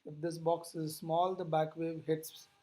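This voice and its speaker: A physics lecturer teaches in an explanatory, classroom manner. The voice sits at a medium pitch. The delivery is didactic, with key points restated and rephrased as the explanation goes on. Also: little pitch movement, unhurried, mellow.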